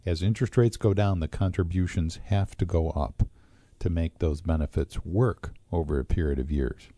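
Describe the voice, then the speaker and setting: Low, mellow-voiced man explaining finances. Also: no room sound. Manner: measured, explanatory, with frequent fillers. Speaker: financial advisor co-host. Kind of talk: radio talk show discussion.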